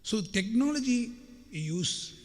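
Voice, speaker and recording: A man's voice: high-pitched, animated, slow pace. A middle-aged man with a scratchy voice, giving a chatty, joking motivational keynote to an audience. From India. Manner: chatty, anecdotal and joking, addressing the audience directly. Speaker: keynote speaker. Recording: long hall reverb with faint background noise.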